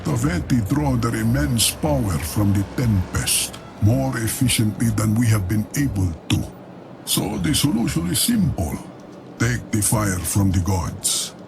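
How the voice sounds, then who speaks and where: An actor playing a proud, ruthless older dynasty leader in a game cutscene, speaking in a grave, measured, dramatic way. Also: male voice, mid-range voice, very animated, creaky, music in the background, exaggerated voice. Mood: grave, calculating. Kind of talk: scripted game cutscene monologue.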